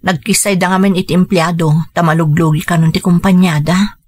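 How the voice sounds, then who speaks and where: A woman acting a gentle, caring family woman in an Ilocano radio drama, speaking in an earnest, measured way. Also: on the low side, fairly even intonation, husky. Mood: concerned.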